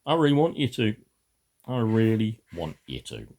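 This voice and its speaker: A man's voice: on the low side, huge pitch swings, normal speed, darkish tone. An older man reading a children's story theatrically, slipping into dry, muttered asides. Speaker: amateur storybook reader.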